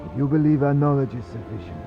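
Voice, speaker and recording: A solemn, warlike githyanki soldier with a creaky, gravelly voice. His phrasing is archaic and formal. The recording is dull and lo-fi, with clear music behind the fantasy drama. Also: mid-range voice, very animated, fast. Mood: grim, resolute. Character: grim, warlike male githyanki warrior. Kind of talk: fantasy audio drama acting.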